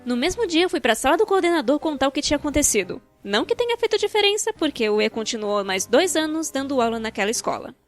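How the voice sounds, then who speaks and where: Brazilian woman doing storytime narration, highish voice, wry n expressive, casual wording, music in the back. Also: fast, hardly any pauses. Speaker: narrator of a fan-dubbed animated storytime video. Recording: background music.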